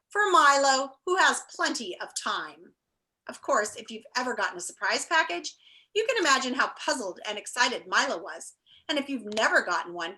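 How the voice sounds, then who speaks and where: A woman reading a children's book aloud expressively, with measured, dramatic phrasing and casual asides. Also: a little high, pushed and bright, low-quality recording. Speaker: read-aloud storyteller. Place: indoor room.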